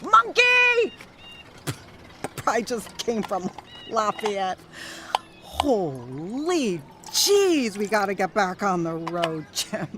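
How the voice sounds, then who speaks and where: An actress playing a drunk, defiant, sardonic 1930s wife in a period radio drama, rambling between mutters and outbursts. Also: female voice, mid-range voice, wildly swooping pitch, very slow, very noisy, full character voice. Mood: indignant ranting. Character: Ernie Pyle's drunk, ranting, defiant, snobby 1930s wife.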